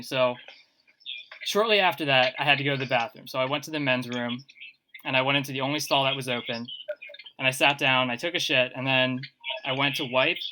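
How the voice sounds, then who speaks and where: A man's voice: mid-range voice, bright, forceful tone, a little hiss or hum, poor audio. A man hosting a casual podcast, telling stories in a rambling way full of "like" and filler words. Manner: rambling, filler-heavy storytelling with laughs. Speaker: podcast host. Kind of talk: casual group podcast chat.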